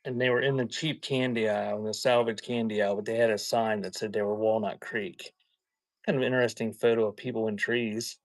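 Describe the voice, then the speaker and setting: A man chatting casually in a folksy, halting way as he hosts a live sale and shows items. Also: on the low side, fairly even intonation, no echo. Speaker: live auction seller hosting a stream. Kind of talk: casual rambling chat while showing items for sale.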